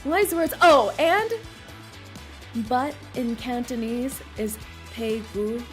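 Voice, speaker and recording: A woman's voice: a little high, expressive, slow pace, faint music behind. A woman streaming a game, chatting playfully and giggling as she reacts to the story. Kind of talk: casual gameplay commentary.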